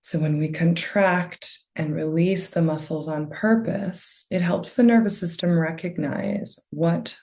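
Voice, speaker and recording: A woman guides a meditation in a low, soft voice. She speaks very slowly, leaving space between phrases. Her gentle delivery settles into a noticeable creak. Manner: gentle, unhurried phrasing with long pauses. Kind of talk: guided meditation, slow soothing narration.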